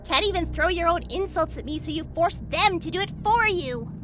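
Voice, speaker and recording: A woman acts a bold teenage girl hero in an audio drama. Her voice is extremely high, sassy and defiant, delivered in cheeky taunts over loud music. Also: animated, a little fast. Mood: mocking.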